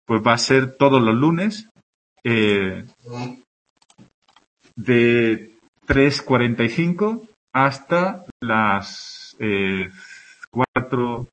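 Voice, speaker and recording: A man's voice: mid-range voice, fairly bright tone. A middle-aged man with an Andalusian Spanish accent, giving a friendly introductory lecture in a classroom, often checking in with his students. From Andalusia, Spain. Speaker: university access course tutor.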